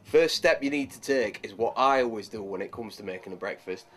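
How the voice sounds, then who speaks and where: An actor playing a crude, swaggering cook from Yorkshire with a Yorkshire accent, rambling and swearing. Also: male voice, fairly low pitch, a little fast, fairly bright tone. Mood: brash rambling. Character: crude boozy Yorkshire lad cook, brash and lewd. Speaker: comic cook character. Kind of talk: improvised comedy sketch.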